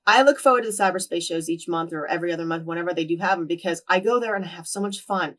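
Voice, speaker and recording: Woman in her 30s, quick chatty storyteller, mid-height voice, mic a little distant. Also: on the low side. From New Jersey. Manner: lively storyteller who acts out quoted dialogue. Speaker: interview guest. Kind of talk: casual storytelling in a sit-down interview.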